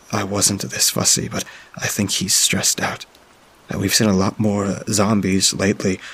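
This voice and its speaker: A frightened young child pours out diary entries in a low, gravelly, husky voice, stumbling over fillers and restarting sentences, as a man reads the part. The delivery stays flat and subdued, barely rising or falling. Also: heavily acted. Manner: halting, with frequent filler sounds. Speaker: storyteller. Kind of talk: first-person diary reading.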